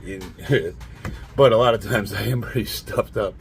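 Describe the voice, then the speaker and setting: Stuffed up raspy guy almost fifty, kinda low voice, just rambling and laughing. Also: normal speed, faint music behind. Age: 40s. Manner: blunt, rambling, laughs often. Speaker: fitness vlogger. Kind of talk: casual monologue to camera.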